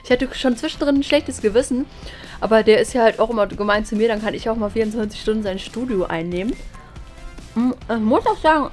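A woman's voice: a little high, a little mellow. A young woman chatting casually to camera as a vlog host, thinking aloud in a colloquial way. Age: young adult. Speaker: video channel host. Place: small furnished studio room, indoors. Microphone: camera at close range.

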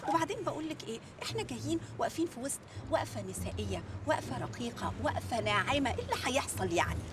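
An actress with an Egyptian accent playing a sharp, sarcastic young woman journalist who argues with her husband. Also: high voice, very animated, very fast, noisy background. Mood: coaxing. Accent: Egyptian Arabic. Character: feisty quick-tongued young woman journalist. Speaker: Engy, a young journalist wife. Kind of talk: comedy film acting.

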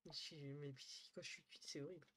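A young woman streaming from a small room at home, talking into her headset. She is tired and chatty, thinks out loud, swears lightly and often trails off into low murmurs. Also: steady tone, a little fast, background noise. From northern France. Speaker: Twitch streamer. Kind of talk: casual live-stream chat with viewers.

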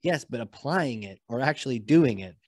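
A man hosting a marketing podcast interview over a video call with a boom-arm mic, enthusiastic and quick to react. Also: expressive, a little mellow, no echo, studio quality. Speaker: podcast host. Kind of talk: conversational interview. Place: home office, video call.

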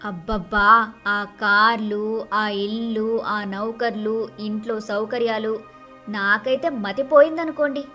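A woman playing an envious, grumbling young married woman in an animated moral story, with an expressive, dramatic delivery. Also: medium pitch, slow pace, soft background music. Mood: gushing. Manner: expressive, dramatic complaining and wheedling.

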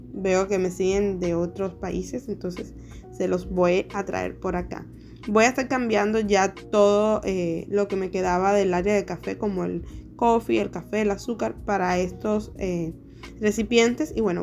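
A young adult woman narrating her home decor vlog in a chatty, relaxed way. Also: mid-range voice. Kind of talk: casual voice-over vlog narration. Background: soft background music.

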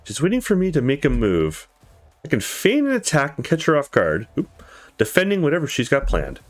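Man doing lively, swooping game commentary over music. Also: a little high, brisk. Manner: casual, frequent swearing. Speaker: let's play streamer. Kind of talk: gameplay commentary, reading visual novel dialogue aloud.

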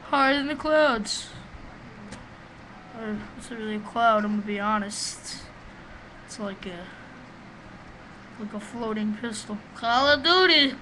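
A man with a small, high voice giving rambling, profane, excitable commentary while playing a game, recorded on a webcam microphone. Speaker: gamer doing a let's play. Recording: noisy home recording.

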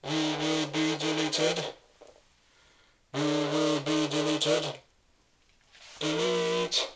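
A man voicing a cold, menacing cyborg soldier in monotone commands, through a ring modulator voice changer. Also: a little high, drawn-out words, creaky, over loud music, full character voice. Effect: ring modulator robot voice changer.

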